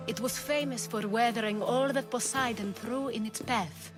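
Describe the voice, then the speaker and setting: A woman's voice: fairly high pitch, normal speed, music in the background, lightly acted. A woman playing a gracious, knowing ally in a video game cutscene set in ancient Greece. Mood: gracious, assured.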